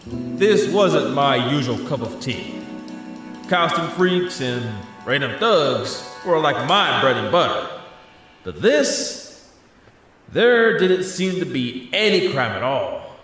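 A man voices a teenage boy detective in an audio drama. He is casual, earnest and wry, with a slightly high, animated delivery. Music runs underneath. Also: heavily acted. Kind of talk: audio drama narration and dialogue.

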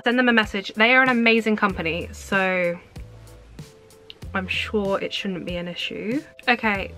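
A young woman, chatting brightly through an unboxing in a small room, her talk bubbly with squeals and laughs. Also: a little high. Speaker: vlogger. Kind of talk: casual unboxing chat. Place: small indoor room with collectible shelves. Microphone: camera at desk distance.

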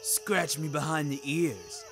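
A man voicing a huge young talking dog, bouncy and shameless, with a demanding deadpan manner in an audio story. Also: on the high side, takes it slowly, vocal fry, voice pushed up, heavily acted. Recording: loud music behind the voice.

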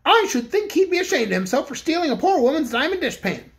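A determined, indignant woman cook from a storybook, voiced by a woman reader. She speaks earnestly and pleads her case. Her voice is high and lively. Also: fast.

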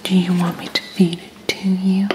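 A woman's voice: lowish voice, monotone, noisy background, natural voice. A woman playing a sweetly coddling alien housewife girlfriend in a whispered, coaxing ASMR roleplay. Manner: slow, coaxing, babying. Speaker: ASMR performer.

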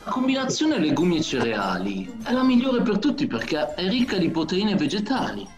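An actor playing a middle-aged smooth talker who puts on airs to impress, in a comic radio soap. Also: male voice, high-pitched, wildly swooping pitch, moderate pace, music in the background. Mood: ingratiating, self-important. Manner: hesitant, pompous wordy phrasing. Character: smooth-talking man feigning vegetarian sympathy to impress. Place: home kitchen. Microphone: video call.

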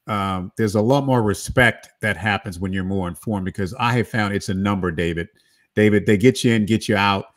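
A middle-aged man moderating a live video-call panel, animated and addressing each panelist by name. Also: lowish voice, brisk. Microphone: video call webcam with desk microphone.

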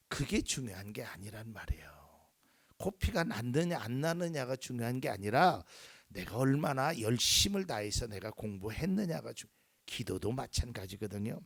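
A male pastor preaching a sermon in a lively way, acting out little quoted voices and dropping at times to a near whisper. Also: mid-range voice, creaky, husky, dry recording, studio quality.

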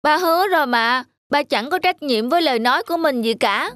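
High-pitched, whiny little cartoon boy. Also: brisk, a bit bright. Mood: pleading, aggrieved. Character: stubborn, spoiled little boy, quick to make excuses. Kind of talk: animated cartoon acting. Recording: music under the voice.

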